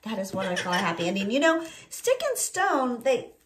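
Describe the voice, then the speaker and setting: A woman's voice: a little high, sing-song, a little fast. A woman in her forties hosting a children's story time, reading expressively and asking leading questions. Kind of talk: picture-book read-aloud with chat for young children. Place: indoor room at home with bookshelves. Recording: roomy home recording.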